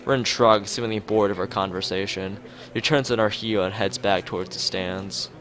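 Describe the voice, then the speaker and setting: A man reading a visual novel aloud as a let's player, casually, with halting, rambling asides. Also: lowish voice, steady tone, a bit bright. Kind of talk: casual game narration with side commentary. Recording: music behind the voice. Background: game music.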